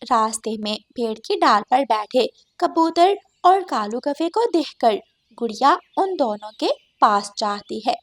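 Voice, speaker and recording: Casting: female narrator for kids' animated tales, fairly high pitch, unhurried delivery. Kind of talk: scripted children's story narration.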